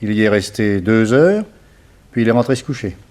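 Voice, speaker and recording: A man's voice: on the low side, animated, normal speed, a little mellow, close to an everyday voice. An actor playing a detective's male assistant giving a matter-of-fact report in a 1960s French radio drama.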